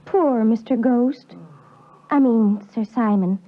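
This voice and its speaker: A woman's voice: fairly high pitch, takes it slowly. An actress playing a kind, outspoken American girl in a 1950s radio play, sympathetic and frank, with an American accent. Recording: tinny 1950s radio recording.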